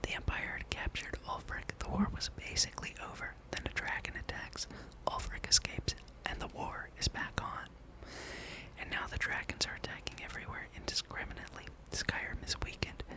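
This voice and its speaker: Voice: moderate pace, lightly acted, poor audio. Whispering wary fantasy rebel read by a gamer. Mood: wary, serious. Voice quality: breathy whisper. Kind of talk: whispered read-aloud of fantasy game dialogue.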